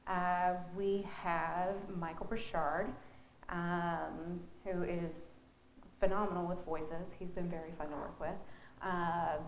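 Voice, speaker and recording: In a roomy, echoing space with faint noise behind her, a woman talks casually in an interview. She has a fairly low voice and an informal, halting delivery, thinking aloud as she goes. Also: flat delivery. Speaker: theater director being interviewed.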